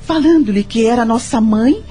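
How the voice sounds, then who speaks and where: An actress playing a sympathetic, curious female friend in a radio drama, gentle and thoughtful. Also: on the high side, expressive, moderate pace, faint music behind, natural voice. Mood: curious, impressed. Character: Lúcia, sympathetic, curious woman friend and confidante.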